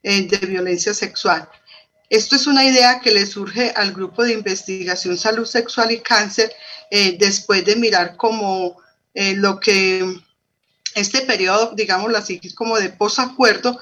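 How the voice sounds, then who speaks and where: A woman's voice: medium pitch, measured pace, pushed and bright. A woman with a Colombian accent, a researcher and professor, explaining her work as an interview guest.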